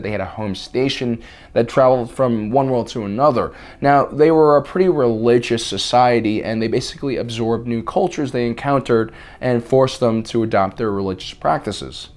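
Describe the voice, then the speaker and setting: Man, video essay host, slightly raspy, deadpan, mock-serious, mid pitch. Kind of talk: scripted video essay with deadpan in-character jokes.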